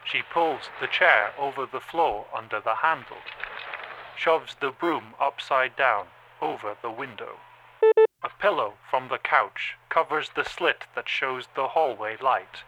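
An actor playing a cold, detached security camera that reports what it sees in a halting, deliberate monotone for an audio drama. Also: male voice, mid-range voice, lightly acted. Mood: detached, watchful. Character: male security camera, cold detached slow-thinking machine observer. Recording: clearly noisy background.

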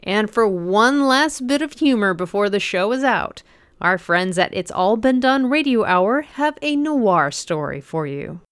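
A woman's voice: mid-range voice, expressive, measured pace, smooth, dry recording, studio quality. A woman announcing a podcast outro from a script.

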